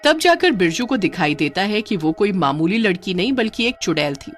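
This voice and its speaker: A woman narrates a scripted story in a medium-pitched voice. Her intonation rises and falls in a very lively way, over a light music bed. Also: brisk.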